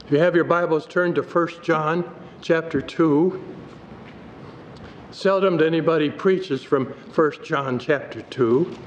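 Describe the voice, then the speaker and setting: An older man, a pastor, delivering a sermon at a pulpit in a church, reading scripture aloud from his notes in a measured way. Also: on the high side. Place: church sanctuary. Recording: dull hall recording with faint noise.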